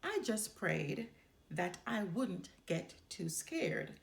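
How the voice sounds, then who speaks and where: A woman voicing a calm, thoughtful boy bear with a gentle, simple manner. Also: medium pitch, drawn-out words, gravelly, heavily acted. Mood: curious. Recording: roomy echo.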